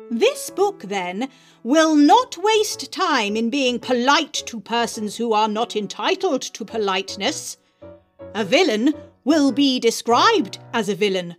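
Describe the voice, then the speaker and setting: A woman with a fairly high voice and very animated intonation, hosting a podcast of readings. She speaks articulately, with theatrical emphasis, over some music. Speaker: podcast host reading aloud. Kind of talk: dramatic reading of an essay, then informal podcast chat.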